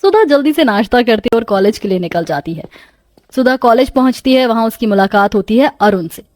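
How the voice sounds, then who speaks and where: High-voiced woman storyteller. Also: animated, a little fast, darkish tone, no room sound, clean studio sound. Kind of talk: scripted story narration.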